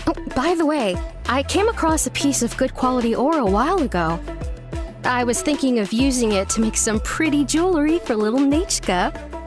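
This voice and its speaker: A woman voicing a friendly, chatty villager in a game cutscene, bright and welcoming. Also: on the high side, lightly acted. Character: cheerful woman villager, warm-hearted and chatty. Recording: game audio with background music.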